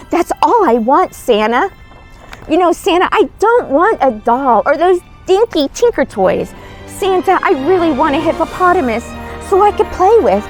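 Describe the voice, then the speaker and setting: An eager little storybook girl, acted out by a woman pleads with sweet insistence. The voice is high and swings about in a very lively way. Faint music sits behind it. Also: exaggerated voice.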